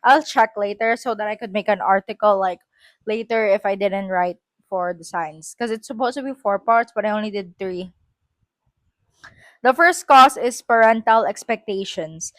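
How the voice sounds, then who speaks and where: A woman's voice: mid-range voice, no room sound. A woman with a Filipino accent reads her own school essay aloud, breaking off for chatty, self-correcting asides.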